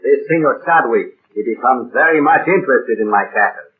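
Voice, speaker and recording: A tinny vintage radio drama voice: an actor as a proud, sorrowful old male rancher. He speaks formal, halting broken English with a Spanish accent, at an ordinary male pitch. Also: lively intonation, faint music behind, natural voice. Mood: earnest storytelling. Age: older. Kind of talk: 1930s radio drama acting. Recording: tinny, band-limited 1930s radio recording.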